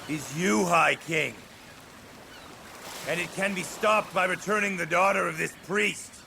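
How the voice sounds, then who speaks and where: An actor playing a bold Greek warrior in a video game, accusing and declamatory. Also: male voice, high voice, unhurried, gravelly, noisy background. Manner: forceful, declamatory. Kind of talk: dramatic video game cutscene acting.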